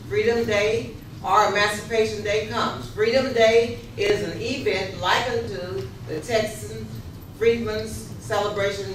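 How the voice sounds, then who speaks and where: A woman reading historical narration for a readers theater, halting and stumbling over words now and then. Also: mid-range voice. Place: echoey room, live rehearsal. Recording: echoey, distant, noisy rehearsal room.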